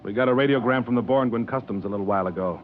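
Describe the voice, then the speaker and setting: An actor playing an uneasy, serious third officer of a spaceship in a 1950s radio drama. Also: male voice, mid-range voice, rapid-fire, natural voice. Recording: tinny vintage radio, steady noise.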